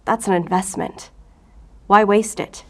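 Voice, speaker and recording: Woman acting as obsessive female FBI agent, medium pitch, blunt, probing, short-tempered, audio fiction. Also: fairly even intonation, unhurried, natural voice. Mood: probing, cool. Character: hard-edged, foul-mouthed, obsessive female FBI special agent.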